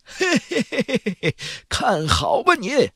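A man voicing a boastful, hearty old immortal Taoist master in a fantasy audiobook, with drawn-out delivery. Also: high-pitched, wildly swooping pitch, gravelly, sharp tone, breathy edge, dry recording, clean studio sound, voice pushed up, exaggerated voice. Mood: gleeful, boastful. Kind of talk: audiobook character acting.

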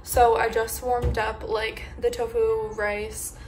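A woman's voice: fairly high pitch, flat delivery, takes it slowly, a little mellow, a little hiss or hum. A woman in her twenties chatting casually through a day-in-the-life vlog at home, full of "like" fillers. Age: 20s. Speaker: vlogger. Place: home apartment, indoors.

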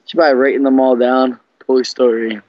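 A man's voice: medium pitch, level tone, brisk, dry recording. A young man chats casually on an internet group call. He talks in slangy, muttered asides, with dry and slightly dull computer-mic sound. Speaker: prank caller.

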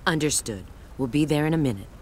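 A woman's voice: deep voice, lively intonation, fast, a little mellow, a little hiss or hum. An actress playing a sly, confident female bat treasure hunter in a video game, with a cool, teasing manner. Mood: cool, businesslike. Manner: cool, teasing, self-assured. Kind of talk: video game cutscene acting.

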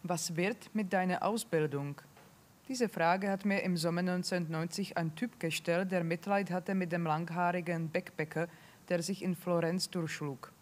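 A woman in her forties reading a literary text aloud in a calm, measured way into a handheld microphone on a library stage. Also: on the low side. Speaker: reader at a literary panel. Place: stage in a large library hall.

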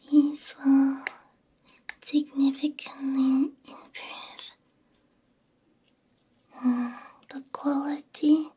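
A woman whispering a soothing ASMR roleplay, breathy and unhurried, very close to a binaural microphone. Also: sits high. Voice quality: breathy, mostly unvoiced whisper. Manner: soft, soothing, unhurried with gentle murmurs. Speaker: ASMR VTuber playing a robot repairer. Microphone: close binaural ear microphone.

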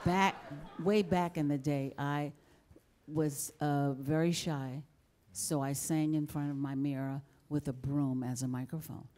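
A woman's voice: sits low, fairly even intonation, measured pace, warm tone, a little hiss or hum. A woman in her sixties telling stories on a panel with a folksy, chuckling manner, into a handheld mic in a large formal hall. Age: 60s. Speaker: panel guest singer. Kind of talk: storytelling on a panel.

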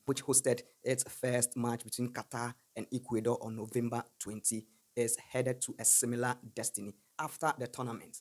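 A man's voice: mid-range voice, darkish tone. A young man, a sports journalist, reading a scripted news report into a studio mic with an emphatic, direct manner. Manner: emphatic, addresses viewers directly. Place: small studio, green-screen backdrop. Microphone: studio mic on stand in front of mouth.

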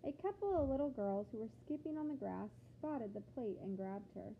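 A woman reading a picture book aloud to children like a teacher, with expressive, deliberate phrasing and questions to the listeners. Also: on the high side, gravelly. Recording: phone-like thin sound with background noise.

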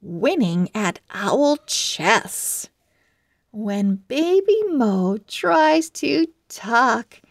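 A woman's voice: a little high, big pitch swings, drawn-out words, creaky, no echo, clean studio sound, heavily acted. A woman reading as an enthusiastic young girl owl from a storybook diary, with exaggerated expressiveness. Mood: cheerful. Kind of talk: children's storybook read-aloud.

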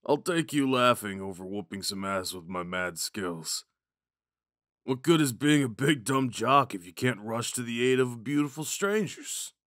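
Man acting a slow-talking drunk himbo jock. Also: mid-range voice, expressive, a bit bright, dry recording, clean studio sound. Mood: protective, goofy. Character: drunk, dim, sweet young male college jock. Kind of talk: ASMR audio roleplay.

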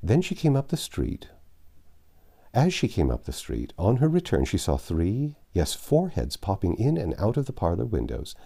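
A man's voice: on the low side, huge pitch swings, normal speed, gravelly, dark tone, breathy edge. A man in his sixties reading a classic novel aloud at home on a lapel mic, giving the characters' lines in a raised voice. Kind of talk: storybook read-aloud narration. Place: home library with bookshelves and armchair.

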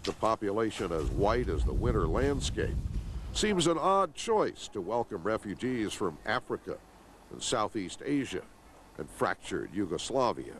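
An older man narrating a scripted news report as correspondent, with a measured, expressive delivery. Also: lowish voice, a little hiss or hum.

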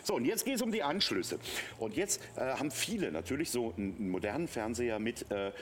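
A man's voice: mid-range voice, very animated, fairly quick, slight background noise. A middle-aged man presenting a product on a shopping channel in a chatty, enthusiastic, unscripted way with emphatic repetition. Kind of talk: unscripted live product demonstration. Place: TV shopping studio.

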